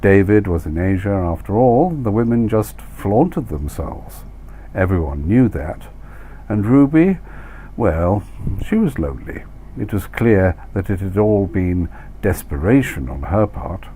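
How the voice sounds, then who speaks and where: Casting: male author-narrator, lowish pitch, wry and deliberate storytelling with dramatic stresses, slight background noise. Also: very animated, mellow. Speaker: author reading own story. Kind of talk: scripted literary narration.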